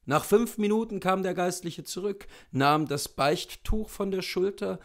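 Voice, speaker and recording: A man in his thirties narrates a story from a book. He reads slowly, with a noticeable creak in his voice, in a small indoor room. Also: high-pitched. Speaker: literary reader. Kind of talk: scripted story narration, reading aloud.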